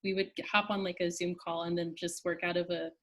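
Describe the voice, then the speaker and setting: A woman's voice: lowish voice, level tone, fairly quick. A woman who writes for a theatre group, talking casually. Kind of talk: casual chat.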